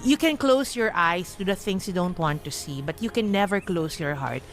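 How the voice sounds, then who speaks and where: A woman's voice: on the low side, animated, moderate pace, faint music behind. Chatty Filipino woman radio host. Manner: chatty, emphatic, mixes in English phrases. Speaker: radio host giving love advice. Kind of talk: casual radio chat.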